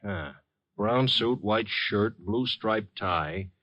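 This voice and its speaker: A man's voice: lowish voice, very slow, no echo. A man playing an obliging, seasoned American police sergeant in a 1950s radio drama, casual and matter-of-fact. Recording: dull noisy vintage radio recording.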